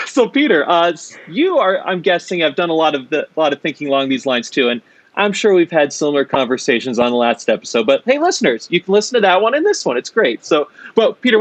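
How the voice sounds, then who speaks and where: A man hosting an interview, chatty and friendly, quick to laugh. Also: on the high side, very animated, no echo. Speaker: interview host. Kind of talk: casual interview chat.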